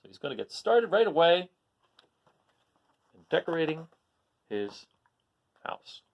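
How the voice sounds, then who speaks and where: Animated man reading aloud to children. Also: a little high, measured pace, gravelly, muffled recording. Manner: expressive, talks directly to young listeners. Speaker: storybook reader. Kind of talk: children's read-aloud with informal asides.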